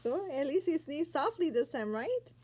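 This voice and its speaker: A woman's voice: sits high, sing-song, moderate pace, darkish tone. A woman reading a picture book aloud to young children, expressively, with asides and questions to the listener.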